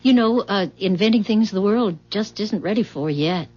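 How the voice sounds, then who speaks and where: Woman acting curious housewife, husky voice. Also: mid-range voice, moderate pace, darkish tone, close to an everyday voice. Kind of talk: 1970s radio drama acting. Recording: dull vintage 1970s radio recording.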